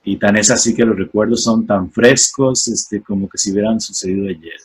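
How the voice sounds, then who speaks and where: A man in his forties speaking in a Costa Rican accent. His voice sits fairly low and has a husky edge. He talks slowly and thoughtfully, trailing off and restarting as he recalls the past. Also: fairly even intonation. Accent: Costa Rican Spanish. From Costa Rica. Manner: unhurried, reflective, many fillers and restarts. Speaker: guest, former missionary. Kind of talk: casual radio interview, testimony.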